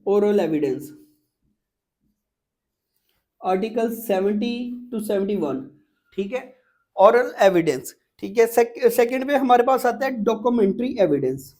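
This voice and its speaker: A woman's voice: medium pitch, lively intonation, normal speed, mellow. Pakistani woman teaching step by step. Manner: repetitive, step-by-step, checking in often. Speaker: law exam prep teacher. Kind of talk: informal lecture, explaining.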